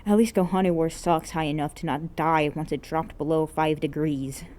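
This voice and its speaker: A woman's voice: deep voice, fairly even intonation, normal speed, darkish tone. A woman reading scripted fiction aloud as an amateur narrator. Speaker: amateur podfic reader.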